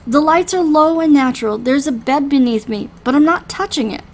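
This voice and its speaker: A woman reading a first-person story aloud in an expressive, dramatic way. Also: high-pitched, a little fast. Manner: expressive, acts out the dialogue. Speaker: reader playing through a visual novel.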